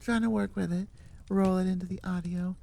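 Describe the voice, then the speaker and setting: Woman, mellow tone, sick, casual chat, playful, rambling, self-deprecating. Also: lowish voice, steady tone, fairly quick, creaky. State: sick with a cold or similar illness. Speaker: audio roleplay creator. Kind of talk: casual chatty sign-off to listeners.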